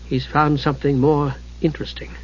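A man's voice: on the high side, normal speed, dull audio, a little hiss or hum, close to an everyday voice. A man voicing an anxious, earnest young man in a fantasy audiobook, sounding uneasy. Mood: hushed.

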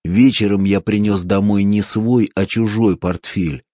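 A wiry man with steady nerves talks in a calm, dryly mocking way, as a male narrator voices him. The voice is deep and husky, recorded close and dry. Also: unhurried, no echo.

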